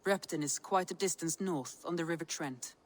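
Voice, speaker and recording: An actress playing a shrewd Viking strategist who gives counsel in a measured, businesslike way. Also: female voice, on the low side, very noisy, natural voice. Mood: advising. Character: shrewd, composed Viking woman strategist and advisor. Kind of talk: dramatic video game cutscene acting.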